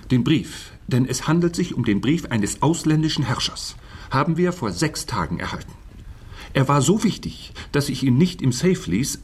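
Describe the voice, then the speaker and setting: Nervous middle-aged minister in a radio play, raspy, earnest, very proper and exact. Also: male voice, mid-range voice. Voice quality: husky. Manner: formal, precise, earnest. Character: elegant, anxious middle-aged government minister. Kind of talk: radio drama acting.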